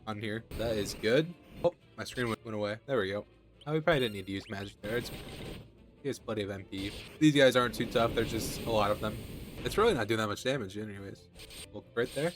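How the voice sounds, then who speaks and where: A man's voice: fairly low pitch, fast talker, soft background music. A man with a cold, sounding nasal, casually commenting as he plays a video game. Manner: relaxed, chatty, thinking aloud. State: has a cold. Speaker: gaming channel host.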